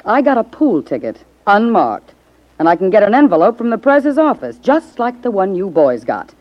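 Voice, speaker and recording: Actress as a brassy scheming gangland woman, swooping pitch. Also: very animated, moderate pace, lightly acted. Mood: cocky, scheming. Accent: American. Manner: slangy, wisecracking, cocksure. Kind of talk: 1950s radio crime drama acting. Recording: dull 1950s radio recording.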